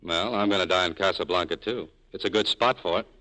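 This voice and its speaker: A very low-voiced, bitter man of the world, running a cafe and guarding old wounds. He talks in terse, sardonic lines in the manner of a 1940s radio play. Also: fast talker, pushed and bright, a little hiss or hum, low-quality recording. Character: bitter, cynical cafe owner, hard-shelled former lover. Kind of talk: 1940s radio drama acting.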